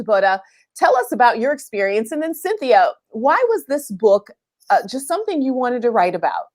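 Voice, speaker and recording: A woman with a fairly high-pitched voice hosts a casual live-streamed conversation. She is chatty and informal, often turning to address her audience directly. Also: darkish tone. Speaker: host of a live online book chat.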